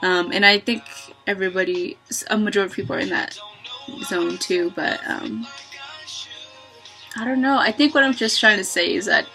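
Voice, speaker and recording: A young woman talking to her webcam in a small bedroom, thinking aloud with many pauses and ums. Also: vocal fry, sharp tone, faint music behind. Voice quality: low-voiced for a woman, by her own account. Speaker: vlogger. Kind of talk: reflective casual monologue. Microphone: webcam at close range.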